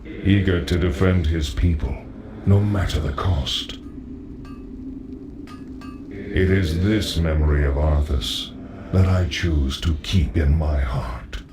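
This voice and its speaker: A man's voice: very deep voice, steady tone, slow pace, long pauses. A man playing the noble, sorrowful spirit of an old paladin in a fantasy game, speaking solemnly and formally. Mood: fond resolve. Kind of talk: fantasy game cutscene acting. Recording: noisy game ambience.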